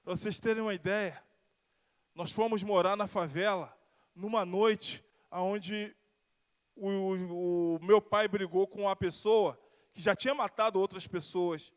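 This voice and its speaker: A man with a Carioca accent preaches a sermon in a church, his voice sitting high for a man. He rises and sinks in volume, stretching out the words he wants to land, and a long hall reverb trails behind him. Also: steady tone, measured pace. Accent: Carioca Brazilian Portuguese. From Rio de Janeiro, Brazil. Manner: swells and drops in pitch and volume, drawing out key words. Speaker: pastor. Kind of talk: sermon with personal testimony. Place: church sanctuary.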